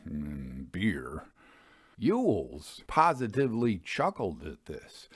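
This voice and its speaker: Low-voiced man narrating an old tale. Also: no echo, clean studio sound. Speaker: storyteller, narrator. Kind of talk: dramatic audiobook narration of a Victorian ghost tale.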